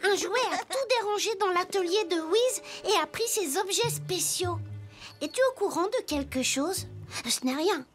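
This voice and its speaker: A woman's voice: extremely high pitch, lively intonation, measured pace, soft background music. A woman voicing an earnest, kind little wooden toy boy detective in a children's cartoon. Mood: earnest, inquisitive.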